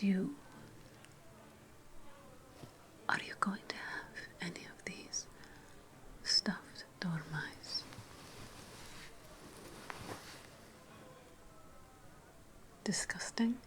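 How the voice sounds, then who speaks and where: Woman as a slow whispering haughty Roman noblewoman. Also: on the low side. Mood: haughty, amused. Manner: slow, teasing, savoring, repeats phrases. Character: haughty, indulgent ancient Roman noblewoman hostess, playful and snobbish. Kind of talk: whispered ASMR roleplay.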